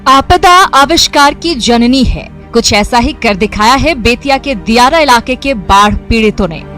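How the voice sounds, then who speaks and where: A woman's voice: a little high, lively intonation, moderate pace, over music. A woman reading formal scripted news narration as a voice-over reporter.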